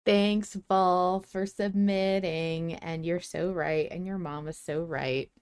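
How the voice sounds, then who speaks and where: A woman's voice: on the low side, steady tone, takes it slowly, a little mellow, slight background noise. A woman hosting a podcast in a casual, chatty style. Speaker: podcast host.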